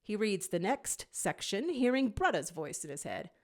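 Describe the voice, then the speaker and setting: Expressive woman reading a story aloud. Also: medium pitch, moderate pace. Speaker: narrator. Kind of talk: expressive scripted story narration.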